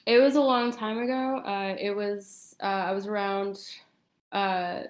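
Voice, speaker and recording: A woman's voice: mid-range voice, fairly even intonation, a little slow. Echoey, hesitant woman in her thirties. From Brooklyn, New York, United States. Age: 30s. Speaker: interview guest. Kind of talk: casual personal storytelling. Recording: echoey room.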